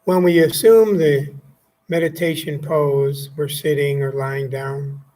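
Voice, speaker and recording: Slow-paced man guiding meditation. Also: a little high, rough recording. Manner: calm, gently instructive, pausing between short phrases. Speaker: meditation teacher. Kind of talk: guided meditation.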